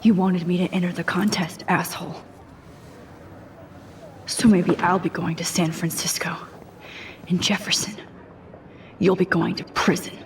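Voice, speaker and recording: A woman voicing an earnest teenage photography student in a video game, soft and often half-whispered. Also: fairly low pitch. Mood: brooding. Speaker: game protagonist.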